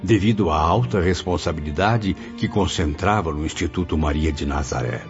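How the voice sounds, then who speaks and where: An actor playing an earnest, inquisitive apprentice spirit in a radio drama, with formal, literary delivery. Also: male voice, sits low, moderate pace. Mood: admiring, reflective. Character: earnest, inquisitive male apprentice spirit recounting his memories. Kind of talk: radio drama acting, literary first-person narration. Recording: dull lo-fi audio. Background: clear background music.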